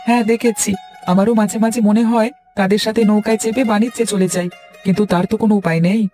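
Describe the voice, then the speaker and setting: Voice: mid-range voice, steady tone, fast, warm tone, faint music behind, lightly acted. A performer playing an earnest, supportive young village boy in a cartoon fairy tale. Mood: earnest, worried. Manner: earnest, agreeable, encouraging.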